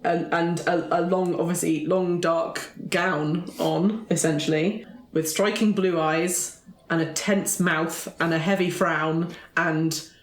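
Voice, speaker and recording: A woman's voice: on the low side, fairly even intonation, slow pace. A woman playing a tabletop roleplaying game, describing her character's actions in casual improvised talk. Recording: roomy echo.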